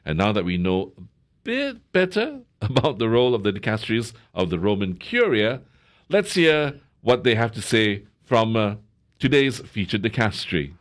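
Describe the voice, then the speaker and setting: A male co-host delivers a scripted introduction with a very lively, rising and falling pitch. He moves through a list of items with bright emphasis. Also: moderate pace.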